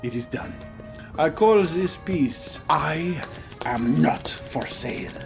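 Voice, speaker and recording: A man's voice: on the high side, animated, takes it slowly, gravelly. A man putting on a French accent as an earnest, self-important painter, giving theatrical, playful commentary over a video game. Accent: put-on French. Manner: theatrical, mock-pompous. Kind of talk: playful in-character gameplay commentary. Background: music.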